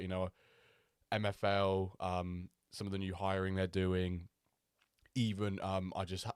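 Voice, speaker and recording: Low-voiced man, slightly nasal. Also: no echo, studio quality. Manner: chatty, rambling, with many ums and restarts. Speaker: streamer hosting a watchalong. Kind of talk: casual live-stream chat.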